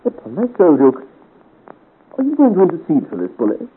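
A man's voice: a little high, wildly swooping pitch, fairly quick. Proud king, tinny old radio. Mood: indignant, incredulous. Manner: formal, regal, theatrical delivery. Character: proud, imperious 17th-century French king, easily scandalised. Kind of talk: 1950s radio serial acting. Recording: scratchy, tinny 1950 radio serial recording.

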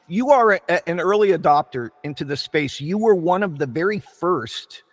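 Higher-voiced male host with long reverb. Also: expressive. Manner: halting, stumbles and repeats words while framing questions. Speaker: podcast host. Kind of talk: casual interview questions.

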